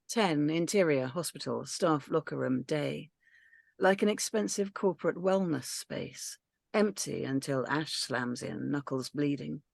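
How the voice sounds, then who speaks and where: Narrator for a script reading: woman, gravelly edge, dry close sound, steady and matter-of-fact. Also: low-pitched, no echo. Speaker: narrator reading stage directions. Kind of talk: script read-through narration.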